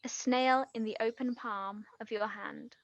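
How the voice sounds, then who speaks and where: High-voiced, tender young woman, played by an actress. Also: fairly even intonation, no room sound, muffled recording. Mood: tender reciting. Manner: halting, trailing off mid-sentence. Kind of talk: stage play acting, quiet two-hander.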